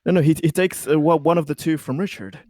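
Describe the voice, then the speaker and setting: A man's voice: fairly high pitch, fast, dark tone, dry recording. Stammering male tabletop player, dry sound. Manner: hesitant, stammering, searching for words. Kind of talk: casual in-game description.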